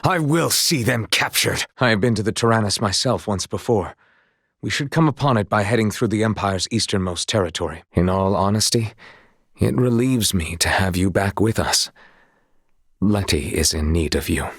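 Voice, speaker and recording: A man voicing an earnest, honorable young knight in a video game, speaking in formal, courtly, old-fashioned phrasing with a husky voice. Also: fairly low pitch, animated, normal speed, no room sound, clean studio sound. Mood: humble, troubled.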